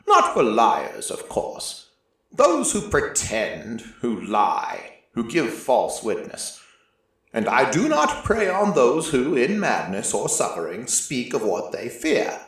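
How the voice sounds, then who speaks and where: A man voicing an ancient, solemn god of prophecy in a dramatic first-person story, with deliberate pauses. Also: mid-range voice, a bit bright, full character voice. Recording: heavily echoing, distant-sounding voice.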